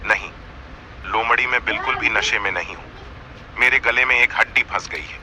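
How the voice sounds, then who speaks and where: A man's voice: mid-range voice, normal speed, pushed and bright, slight background noise, voice pushed down. A man voicing a proud lion king of the jungle, by turns imploring and imperious, in a cartoon fable. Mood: coaxing.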